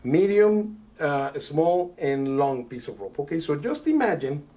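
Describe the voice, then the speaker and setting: A middle-aged man from Italy, a magician doing live patter for a rope trick, talking in a chatty, halting way with many fillers. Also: fairly high pitch, big pitch swings, dark tone. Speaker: magician guest.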